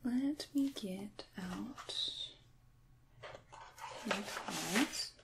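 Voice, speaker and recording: A woman playing an ancient, kindly witch in a soft-spoken ASMR role-play, with breathy, whispery speech and a musing, wry, gently reassuring manner. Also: mid-range voice, animated, extremely slow, very noisy, full character voice. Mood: calm, wry.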